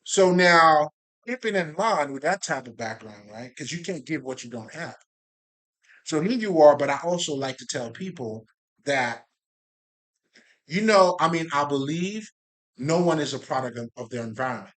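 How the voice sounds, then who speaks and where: A man originally from Haiti speaking straight to his audience in a loose, rambling monologue. His delivery is animated and full of profanity and repeated fillers. His voice sits a little high for a man. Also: moderate pace. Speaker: spiritual video creator. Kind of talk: casual monologue to viewers.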